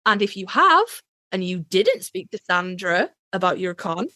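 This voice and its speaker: A woman's voice: lowish voice, sing-song, normal speed, bright, forceful tone, dry recording. Dry-sounding, casual woman podcast co-host. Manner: informal, direct address to listeners. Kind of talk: casual podcast presenting and banter.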